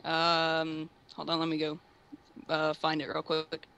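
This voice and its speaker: A man's voice: a little high, monotone, unhurried, creaky, bright, forceful tone, clean tone. A young man on a call, answering politely and deferentially as a customer. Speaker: prank caller. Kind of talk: polite phone conversation. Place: online voice call.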